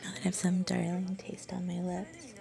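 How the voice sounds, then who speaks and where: A woman playing an affectionate, shy young student girlfriend in an intimate ASMR roleplay, soft-spoken and breathy, often slipping toward a whisper. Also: fairly low pitch, moderate pace. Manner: tender, coaxing, bashfully hesitant.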